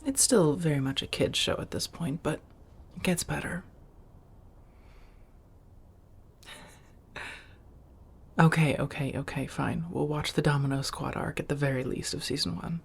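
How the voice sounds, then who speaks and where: A woman playing an affectionate, teasing vampire roommate in a soft-spoken ASMR roleplay, breathy and intimate, often half-whispering. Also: deep voice, normal speed, heavily acted. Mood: playful. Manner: intimate, teasing, coaxing with pet names.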